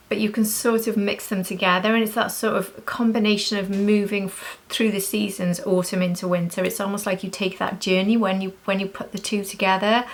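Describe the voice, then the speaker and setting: A woman chatting casually as a beauty vlogger reviewing products, gushing and repeating words for emphasis. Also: medium pitch.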